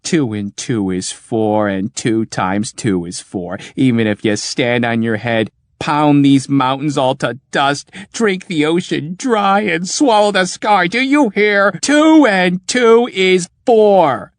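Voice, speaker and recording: A man voicing a timid male inventor who speaks softly, then shouts hoarsely in defiance. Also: medium pitch, big pitch swings, moderate pace, no room sound, studio quality, voice pushed up. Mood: enraged. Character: timid male constructor turning stubbornly defiant.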